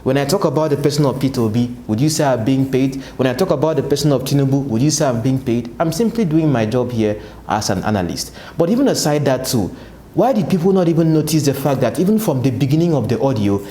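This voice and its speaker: A man's voice: mid-range voice, animated, fast, mellow, reverberant, a little hiss or hum. A Nigerian man giving political commentary to his viewers, asking and answering his own rhetorical questions. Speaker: political analyst, channel host. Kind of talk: commentary to camera.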